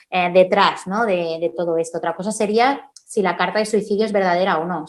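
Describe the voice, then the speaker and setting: Woman speaker, forensic linguist, explanatory, mid-pitched voice, from Spain. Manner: explanatory, frequent "¿no?" tags and "eh" fillers. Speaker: forensic linguist giving a conference talk. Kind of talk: conference Q&A answers.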